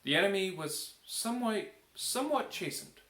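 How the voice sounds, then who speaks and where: A man reading a bedtime story aloud as an expressive storybook narrator. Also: high-pitched, moderate pace. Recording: echoey room.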